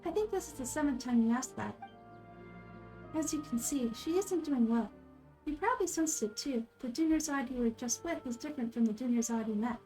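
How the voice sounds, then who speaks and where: Woman as soft, high-voiced wise little girl deity. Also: lively intonation, brisk, soft background music, low-quality recording. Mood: calm, knowing. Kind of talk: video game character dubbing.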